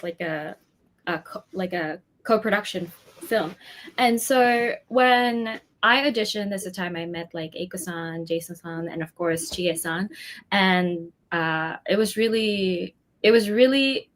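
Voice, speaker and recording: A young woman from the Philippines, an actress chatting as an interview guest, talking at length with many fillers and frequent laughter. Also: medium pitch. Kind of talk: casual interview chat.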